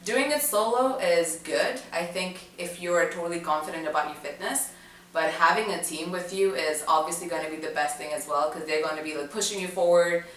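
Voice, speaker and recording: A woman's voice: fairly low pitch, fairly bright tone, recorded from a distance. Young woman recalling a race, echoey. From Dubai. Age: young adult. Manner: chatty, many fillers and restarts. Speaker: student interviewee. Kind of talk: casual recollection to camera.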